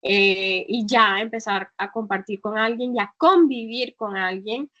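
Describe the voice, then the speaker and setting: A woman's voice: mid-range voice, a little slow, pushed and bright, muffled recording. A woman guest in an interview, talking reflectively with frequent fillers and restarts. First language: Spanish. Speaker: guest, travel entrepreneur. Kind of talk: conversational interview.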